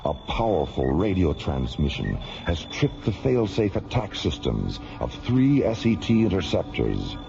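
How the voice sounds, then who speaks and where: A man's voice: low-pitched, big pitch swings, unhurried, gravelly, few pauses, over music. A man delivering scripted dramatic radio narration as an announcer. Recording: dull radio recording, faint noise.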